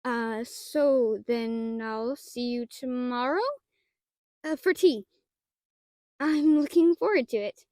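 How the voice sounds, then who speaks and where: A woman's voice: on the high side, slow pace, long pauses, a little mellow, no echo, clean studio sound. A woman playing a shy, nerdy young half-dragon librarian in an intimate audio roleplay, stammering and hesitant, with bookish wording and an easily flustered manner. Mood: excited, bashful.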